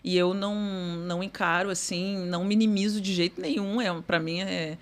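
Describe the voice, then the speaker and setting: A middle-aged woman, a guest speaking thoughtfully in an interview, often pausing and restarting with "né". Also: medium pitch. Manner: thoughtful, many fillers and restarts, says "né". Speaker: guest executive. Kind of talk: conversational interview.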